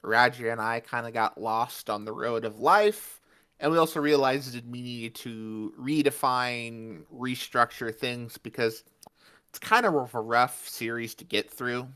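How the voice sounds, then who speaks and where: A man's voice: mid-range voice, unhurried, bright, forceful tone, clear, resonant tone. A man co-hosting a podcast, recapping an episode in a chatty, jokey way. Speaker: podcast co-host.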